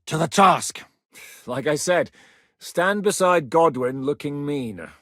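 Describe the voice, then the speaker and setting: Resolute rebel captain in a medieval game, bossy and shrewd, slightly high pitch, super dry studio sound. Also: male voice, lively intonation, slow, a bit bright, dry recording, heavily acted. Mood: steady, commanding. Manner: authoritative, decisive, plain-spoken. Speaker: military leader planning operations. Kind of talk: video game dialogue acting.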